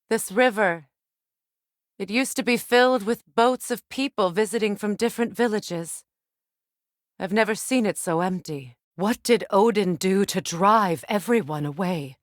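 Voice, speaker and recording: A woman's voice: a little high, a bit bright, no room sound, clean studio sound. A woman acting a proud, bitter exiled warrior goddess and grieving mother in a video game, with a stern, curt, imperious manner. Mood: mournful.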